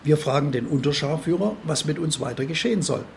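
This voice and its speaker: Male witness reader, fairly high pitch, strong creak, plain first-person account, faint noise behind. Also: animated, a little fast. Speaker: reader of a prisoner's testimony.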